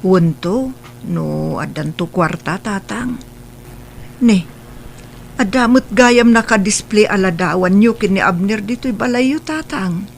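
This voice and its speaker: Brief: man voicing a weary middle son, high voice, soft and tired, light hum. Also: expressive, slow pace, creaky, heavily acted. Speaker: son in a family drama.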